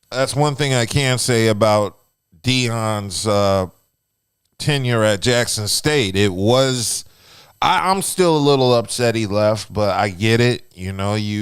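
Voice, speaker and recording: A man co-hosting a podcast, talking things through steadily with lots of fillers, a naturally loud and booming voice close on a studio mic. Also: smooth, no room sound. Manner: steady explanatory talk with frequent fillers. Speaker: podcast co-host. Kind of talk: casual podcast discussion. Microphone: close radio-style studio mic.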